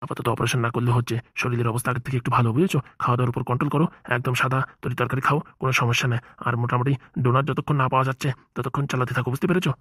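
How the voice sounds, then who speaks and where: A woman's voice: rumbling low, fairly even intonation, racing, hardly any pauses, breathy edge, no echo, studio quality. A woman playing a breezy male hospital doctor in a comedy cartoon, casual, jocular and reassuring. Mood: quiet, reassuring.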